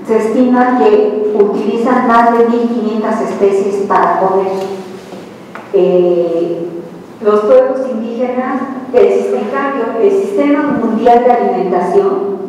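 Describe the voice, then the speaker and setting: A middle-aged Mexican woman lectures at a slow, measured pace and pauses between short phrases. She puts rhetorical questions to her audience. Her voice carries through a large, echoey hall and is picked up from far away by the camera, with faint room noise behind it. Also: on the high side, darkish tone. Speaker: researcher giving a lecture. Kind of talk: live conference talk. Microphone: handheld mic, heard from far off.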